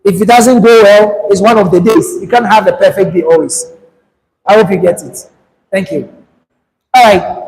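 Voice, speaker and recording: A man with a very high, light voice, giving a public speaking workshop into a handheld mic in a lecture hall. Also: normal speed, pauses often, darkish tone. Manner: direct, coaching, urges the group to hurry. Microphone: handheld microphone. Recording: long hall reverb, faint background noise.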